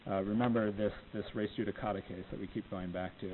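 Low-voiced man lecturing at a podium. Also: steady tone. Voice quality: low voice, not dynamic. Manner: unhurried, many ums, plainspoken. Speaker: lecturer on appellate oral argument. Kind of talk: informal talk to law students. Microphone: podium microphone. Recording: tinny phone-like audio, faint hiss.

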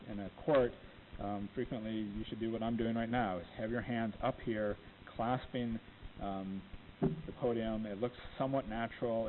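A man with a low voice that he says is not dynamic, giving an informal talk to law students from a podium microphone, unhurried and full of ums. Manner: unhurried, many ums, plainspoken. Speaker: lecturer on appellate oral argument. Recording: tinny phone-like audio, faint hiss.

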